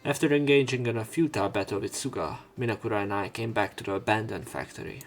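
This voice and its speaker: A man's voice: fairly low pitch, fast talker, vocal fry. A man narrating and reading dialogue aloud for a visual novel let's play, sometimes stumbling over words. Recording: game music behind voice. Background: visual novel game music.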